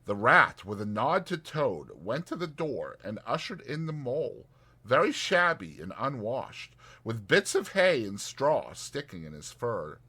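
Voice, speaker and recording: A man narrates a classic story in dramatized audiobook style. His delivery is bright and forceful, with hugely varied, expressive intonation. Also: medium pitch, a little slow, slightly rough.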